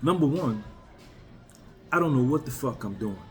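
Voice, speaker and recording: A man's voice: mid-range voice, animated, normal speed, faint music behind. A man in his fifties, a YouTuber, talking candidly and bluntly to his viewers in a casual, emphatic way. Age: 50s. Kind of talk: casual talk to camera.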